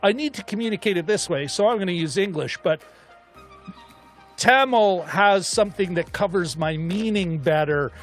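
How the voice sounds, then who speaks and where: A man co-hosting a film discussion show, chatting casually in a rambling, animated way as he thinks aloud. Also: sits high, moderate pace, soft background music. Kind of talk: casual chat.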